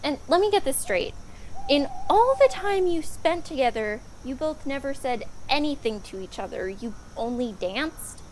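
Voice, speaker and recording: A woman's voice: high voice, lively intonation, moderate pace, a little mellow, slight background noise, poor audio. A woman playing a blunt, exasperated young fairytale maiden in a comedic audio drama, with a casual, modern, sarcastic manner. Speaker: voice actor.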